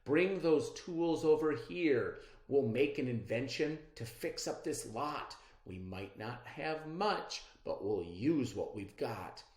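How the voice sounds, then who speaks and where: A storybook reader voicing a feisty, excitable young girl inventor who exclaims emphatically and is easily exasperated. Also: medium pitch, slow, few pauses. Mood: eager. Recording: roomy, echoey sound.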